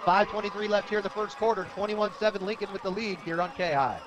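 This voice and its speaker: An American man calling football play-by-play on the radio in an excited, emphatic manner. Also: high voice, quick pace, a crowd in the background. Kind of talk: live American football commentary. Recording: outdoor stadium broadcast, heavy background noise.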